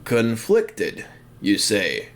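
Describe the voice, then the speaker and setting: Male narrator as old Scottish locomotive, audio drama, kindly, deliberate, blunt, expressive pitch. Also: exaggerated voice. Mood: thoughtful, firm. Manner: forward, deliberate, good-natured.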